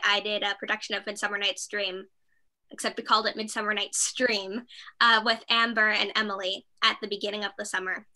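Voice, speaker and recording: A woman's voice: mid-range voice, pushed and bright, rough recording. A woman actor chatting casually on a panel, acting out thoughts and reactions as quotes while she talks. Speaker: stage actor.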